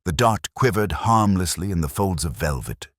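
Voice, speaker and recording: Male audiobook narrator, extremely low and husky, solemn and dramatic, with lively intonation and a dry, close sound. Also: no echo. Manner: solemn, theatrical, deliberate phrasing. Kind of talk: dramatic scripted narration of a period mystery.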